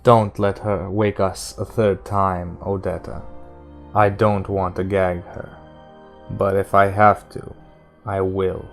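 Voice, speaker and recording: Man playing a low, quietly menacing gunslinger. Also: slow, vocal fry, soft background music, exaggerated voice. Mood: quiet warning. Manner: even, silky, soft, with sudden growls. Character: stern, controlled male gunslinger, quietly menacing.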